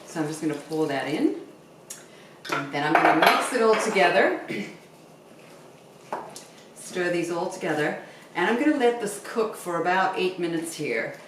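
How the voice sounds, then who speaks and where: A middle-aged woman with a low voice talks through a recipe as a home cooking host. She is recorded at a distance in a very echoey kitchen and explains each step casually. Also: animated, normal speed, long pauses. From Zimbabwe. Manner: instructive, talking while cooking. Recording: very echoey kitchen, distant mic, faint noise.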